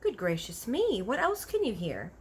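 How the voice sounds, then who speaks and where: A woman's voice: fairly high pitch, huge pitch swings, normal speed, a little mellow, breathy edge, pitched above the performer's own voice, full character voice. A woman voicing a curious, polite young girl in a children's storybook, full of amazement and eager questions. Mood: amazed, curious. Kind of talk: animated children's storybook character voice.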